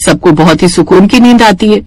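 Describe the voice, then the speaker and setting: Male storyteller, scripted narration, high pitch, very lively intonation, dry sound. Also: fairly quick, no echo.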